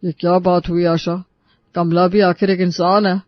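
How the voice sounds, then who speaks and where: A man's voice: high voice, level tone, normal speed, no echo, close to an everyday voice. A man voicing a placating, reasonable family man in a dramatised household story, on a slightly muffled recording. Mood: reproachful. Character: reasonable, pleading men of an Indian household.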